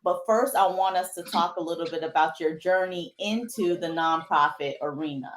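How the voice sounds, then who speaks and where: A woman hosts a live online talk show and greets her guest with upbeat enthusiasm. She stops now and then on fillers while she finds her words. Her voice carries a clear echo from the room around her. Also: on the low side, moderate pace. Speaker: host.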